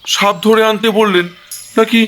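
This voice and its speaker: A man's voice: high voice, fairly even intonation, moderate pace, bright, forceful tone, slight background noise. A man playing a fretful, simple-minded grown village son in a folk-tale cartoon, whiny, stammering and pleading. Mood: anxious suggesting. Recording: music behind the voice.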